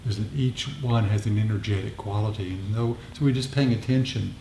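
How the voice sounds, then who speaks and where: Mellow, low-voiced man in an echoey room. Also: fairly even intonation, fairly quick, creaky. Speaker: meditation group teacher. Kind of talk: informal dharma discussion and meditation guidance. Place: meditation group meeting room. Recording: echoey room, faint background noise.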